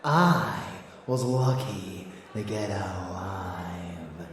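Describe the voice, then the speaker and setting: A man's voice: sits low, extremely slow, heavy reverb, far from the mic, heavily acted. A man playing a rebellious vampire lover in an intimate ASMR audio drama, teasing, boastful and doting, with menace underneath. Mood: boastful recounting.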